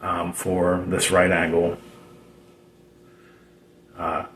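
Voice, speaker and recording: A man giving a casual, unscripted DIY how-to in a halting, rambling way, repeating himself as he explains. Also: sits low, monotone, fairly bright tone. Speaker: DIY radio hobbyist presenter. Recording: roomy echo, noticeable background noise.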